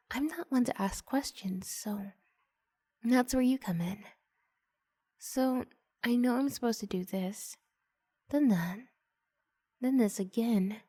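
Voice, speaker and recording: A bashful, adoring student, acted by a woman, speaks in a lifted, soft voice that often slips toward a whisper. She is hesitant and gushing, in the intimate manner of an ASMR roleplay, with gentle, unhurried pacing. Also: fairly high pitch, slightly rough. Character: shy, flustered, adoring female student seeking homework help.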